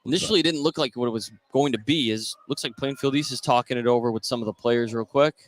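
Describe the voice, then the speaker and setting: A man's voice: mid-range voice, quick pace. A man doing live play-by-play basketball commentary on the radio. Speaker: play-by-play announcer. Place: indoor school basketball gym. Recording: noisy courtside gym broadcast. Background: clear gym noise.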